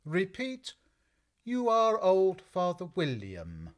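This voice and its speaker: A woman's voice: lowish voice, wildly swooping pitch, slow pace, full character voice. A woman playing a languid, sleepy, curt and contrary caterpillar in a full-cast audiobook. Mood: languid, commanding.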